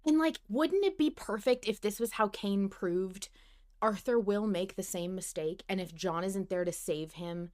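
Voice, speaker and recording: A woman hosting an animated fan discussion, talking emphatically with plenty of swearing and rhetorical questions. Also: mid-range voice, unhurried. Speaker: podcast host.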